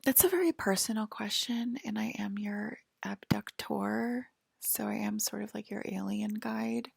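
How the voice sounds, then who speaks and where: A woman doing a soft-spoken, whispery ASMR role-play as a calm alien guide, talking haltingly and matter-of-factly close to the mic. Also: mid-range voice, dry recording. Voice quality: breathy, whispery. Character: calm alien abductor guiding a patient aboard a UFO.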